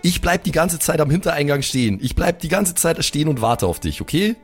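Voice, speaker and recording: A man's voice: fairly high pitch, lively intonation, a little fast, slightly rough. A young man improvising in a role-play, chatting casually in slang sprinkled with English words. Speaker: player in a pen and paper podcast. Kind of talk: improvised in-character casual chat. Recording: faint music bed behind the voice. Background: faint background music.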